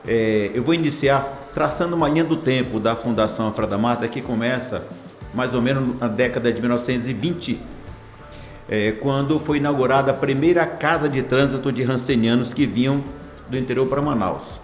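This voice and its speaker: A man's voice: mid-range voice, fairly quick, roomy sound, faint music behind. A man in his sixties, a hospital foundation director, answering interview questions in a formal, ceremonious way with long lists of phrases. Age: 60s.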